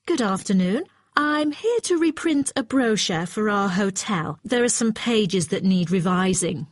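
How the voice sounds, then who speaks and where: A woman's voice: mid-range voice, lively intonation, unhurried, no echo, clean studio sound. A woman playing an exacting, matter-of-fact hotel client in a scripted listening-test dialogue. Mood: businesslike, firm. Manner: formal, precise, matter-of-fact. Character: brisk, exacting female hotel client requesting brochure revisions.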